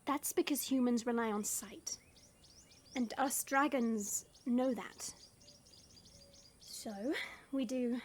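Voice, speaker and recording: A woman's voice: on the high side, slow, long pauses, slight background noise. A woman voicing a proud female dragon who talks down to others in formal, condescending words. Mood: haughty. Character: haughty female dragon guide, proud of her kind.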